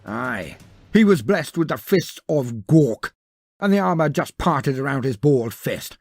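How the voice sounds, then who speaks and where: A man's voice: medium pitch, animated, unhurried, darkish tone, no room sound. A man narrating a scripted fantasy tale in a theatrical, florid and wry manner. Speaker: storyteller narrator.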